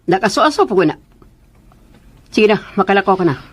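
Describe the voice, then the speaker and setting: A woman's voice: mid-range voice, lively intonation, moderate pace, husky. An actress playing a curt, impatient mother and mistress of the house in a radio drama, brusque and snappish.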